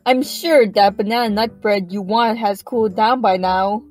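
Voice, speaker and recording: A welcoming bakery keeper played by a woman chats in a mid-pitched voice over faint music. The character is a friendly fantasy creature in an audio drama, always hospitable. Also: moderate pace, natural voice. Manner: chatty, welcoming. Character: friendly, hospitable female Monferno bakery shopkeeper.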